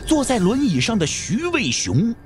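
A man's voice: extremely high pitch, huge pitch swings, moderate pace, background noise. A man narrating a martial arts novel for an audio drama in a dramatic storytelling style.

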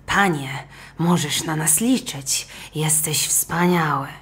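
A woman voicing a talkative, flattering fox courtier with a fawning manner. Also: sits low, slow, husky, heavily acted. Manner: fawning, hesitant.

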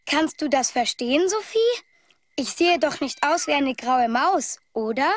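A woman's voice: sits high, a little slow. A woman voicing a small, cheerful male toy rabbit who chats in a friendly tone as he reads out his letter from a trip. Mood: chatty. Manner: chatty, friendly letter-writing tone. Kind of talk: storybook letter reading.

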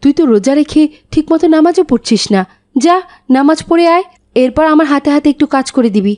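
A woman playing a gently nagging, soft-hearted mother in a cartoon, coaxing her child. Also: high voice, animated, brisk. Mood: gentle nagging. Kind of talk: cartoon dialogue acting.

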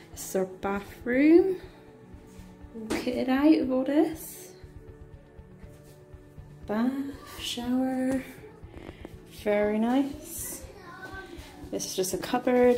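A young woman casually giving a tour of a small apartment, filmed on a handheld phone, with a relaxed, offhand way of talking. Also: on the high side, slow, long pauses, soft background music. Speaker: vlogger.

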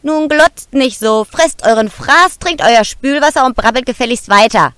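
A woman plays a seductive and scornful ghost huntress in a fantasy audio play. The voice is high and swings widely, recorded dry with music underneath. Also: animated, moderate pace, a bit bright, smooth, no room sound. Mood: scornful, annoyed. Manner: sugary and teasing or sneering.

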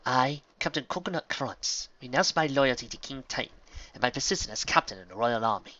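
A man's voice: mid-range voice, expressive, a little fast, bright, forceful tone, dry recording, dull audio. A man voicing an earnest, intense female pony army captain with a dramatic, ceremonious manner in a storybook reading.

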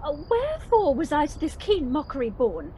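A woman's voice: sits high, huge pitch swings, moderate pace, warm tone, slight background noise. A woman acting a lovesick, self-pitying young noblewoman in a theatrical Shakespearean radio play. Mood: wounded indignation. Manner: theatrical, indignant, swooping.

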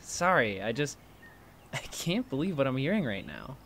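An actor playing an inquisitive, teasing college student in a fiction podcast, with a prodding, excitable manner. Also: male voice, mid-range voice, moderate pace, a little hiss or hum. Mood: incredulous. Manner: prodding, animated, incredulous.

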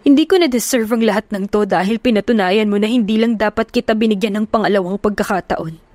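A woman's voice: medium pitch, quick pace, darkish tone, no echo, lightly acted. A woman narrator voicing a young businessman who bitterly confronts his unfaithful girlfriend. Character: hurt, angry young man, a CEO.

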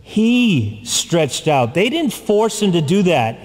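Slow, solemn priest in echoing church. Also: male voice, sits high, sing-song, darkish tone. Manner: slow, solemn and deliberate, with long pauses and repetition. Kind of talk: sermon. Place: large church, from the pulpit. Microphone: far camera, pulpit mic. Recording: long church reverb.

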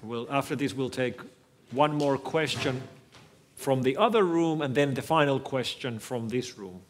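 A middle-aged man whose native language is Finnish, giving a measured, thoughtful keynote talk on stage in a lecture hall, speaking into a headset mic. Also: medium pitch, slight background noise. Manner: measured, thoughtful, frequent restarts. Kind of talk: conference keynote with audience Q&A.

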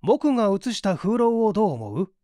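A man voicing a methodical gentleman detective in an audiobook, assured and analytical as he explains. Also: sits high, sing-song, normal speed, dry recording, studio quality. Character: confident, methodical gentleman detective in a mystery story.

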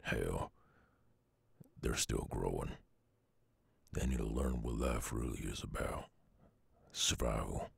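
A man voicing a brooding, weary loner werewolf with a Southern US accent and a gruff, husky drawl, in an ASMR audio roleplay. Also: very deep voice, no room sound, full character voice. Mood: grim, brooding. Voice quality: very gravelly, husky. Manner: slow, drawling, murmured.

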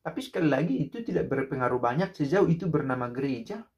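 A man's voice: medium pitch, very animated, fast talker, a little mellow. A man in his thirties giving casual spoken commentary to the camera in a small room, reflective and full of rhetorical questions. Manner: reflective, rhetorical, many fillers and restarts. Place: small indoor room by a window.

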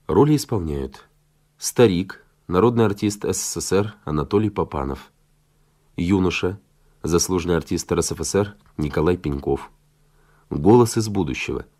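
A man making a formal, scripted radio announcement in a measured manner, as an announcer introducing a programme. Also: deep voice, a little mellow.

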